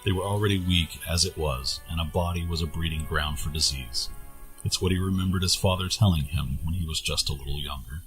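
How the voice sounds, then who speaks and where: Very deep-voiced male story narrator. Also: a little fast, over music, low-quality recording. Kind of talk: scripted fantasy story narration.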